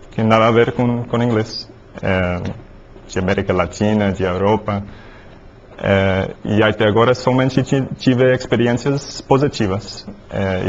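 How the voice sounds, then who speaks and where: A man with an American English accent speaking Portuguese as a second language, pausing often with fillers as a seminar guest. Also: lowish voice. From United States. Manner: halting, frequent fillers. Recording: dull lo-fi sound, faint noise.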